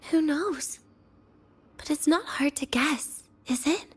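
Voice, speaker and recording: A woman voice acting a weary, teasing, guarded and cynical young woman patient in a video game, with a breathy, languid delivery that slips into near-whispers. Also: high voice, slow pace. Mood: resigned, bitter. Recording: music behind the voice. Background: background music.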